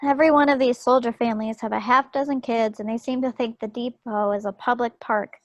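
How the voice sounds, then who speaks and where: A woman's voice: a little high, fairly even intonation, a little fast, no room sound, natural voice. A woman playing an affectionate, chatty young wartime wife in a table read of a stage play over a video call. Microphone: computer mic on a video call. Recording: dull, dry video call recording.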